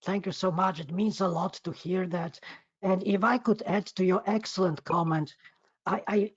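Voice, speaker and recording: A man with a Polish accent speaks conversationally and effusively to an online audience, haltingly and often repeating words. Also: high voice, steady tone, slightly rough, no room sound. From Poland. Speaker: guest speaker, magazine editor. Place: online video meeting. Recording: muffled lo-fi online call.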